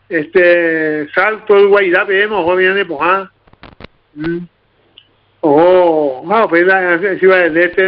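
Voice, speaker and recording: A man with a Paraguayan accent hosting an informal radio talk show, repeating himself as he goes. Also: high-pitched. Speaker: radio host. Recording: narrow, tinny radio broadcast sound.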